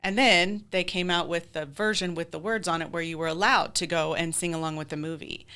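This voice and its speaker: A woman's voice: on the low side, fairly even intonation, fairly quick, pushed and bright, clear, resonant tone. A woman chatting casually as an interview guest, explaining things with enthusiasm. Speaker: podcast guest, theater director.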